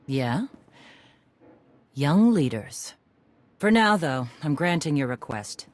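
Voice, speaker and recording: A woman playing a gruff, battle-worn warrior clan leader in a video game, with a blunt, weary manner. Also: low voice, sing-song, slow pace, a little mellow, no echo, full character voice. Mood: firm, wry.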